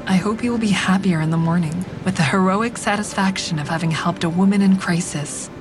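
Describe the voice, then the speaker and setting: An actress playing a wry, hard-edged woman who narrates her own story in a frank, deliberate dramatic style. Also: over music, lightly acted. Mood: watchful, reflective. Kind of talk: scripted first-person dramatic narration. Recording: clear rumbling traffic noise behind.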